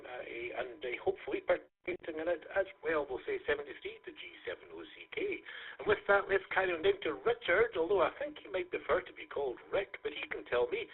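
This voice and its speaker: A man's voice: high-pitched, vocal fry, breathy edge. A man from the UK hosting an amateur radio net, talking with lots of hesitant fillers. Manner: hesitant, many fillers, friendly. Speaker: net host. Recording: thin phone-like radio audio.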